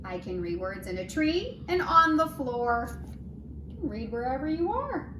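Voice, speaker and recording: A woman's voice: high-pitched, big pitch swings, measured pace. A woman teaching early reading to young children, speaking in a sing-song way, asking questions and sounding out letters and words. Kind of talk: phonics lesson for young children, read-aloud. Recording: roomy echo, clear background noise.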